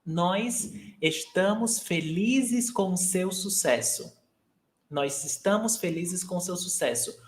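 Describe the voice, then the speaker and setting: A man teaching a language lesson, speaking slowly and deliberately and repeating each example sentence. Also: high-pitched, reverberant. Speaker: Portuguese teacher. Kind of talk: language lesson, mixing English and Portuguese.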